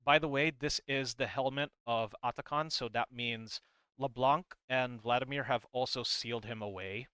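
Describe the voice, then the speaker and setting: A man with a bright, crisp voice talks through game lore in a casual explainer style. His delivery rambles and hedges as he works through his commentary. The sound is dry, with hardly any room on it. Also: mid-range voice, fairly even intonation, moderate pace, no room sound. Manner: rambling, hedging, reads comic text aloud between comments.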